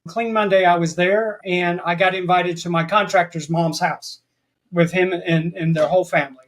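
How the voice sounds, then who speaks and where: A man's voice: high-pitched, fairly even intonation, normal speed, rough recording. A man talking as a podcast guest in a rambling way, often restarting his sentences. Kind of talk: casual interview chat.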